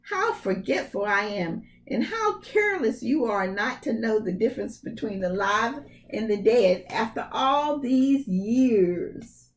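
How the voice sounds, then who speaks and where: A woman's voice: fairly high pitch, expressive, slow, distant mic. A woman voicing an ogre's big, exasperated wife in a storybook read-aloud, chiding in a singsong way. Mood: scolding.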